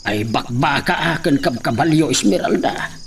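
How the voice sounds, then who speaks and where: A man's voice: a little high, animated, moderate pace, vocal fry. Man acting Ilocano country father, faint background noise. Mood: explaining, cautious. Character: rural Ilocano father talking with his young daughter. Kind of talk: radio drama acting.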